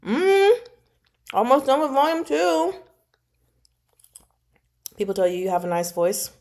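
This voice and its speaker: A woman with a chatty, giggly, expressive way of talking, casually hosting a livestream book club. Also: high voice, unhurried, vocal fry. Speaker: host of a book club reading stream. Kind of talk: casual livestream chat about a novel.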